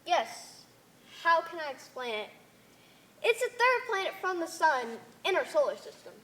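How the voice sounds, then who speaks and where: A woman's voice: extremely high pitch, sing-song, normal speed, sharp tone, full character voice. A woman playing a stubborn, candid Earth girl among aliens in an amateur stage play, plain-spoken and emphatic. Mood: insistent. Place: theater stage.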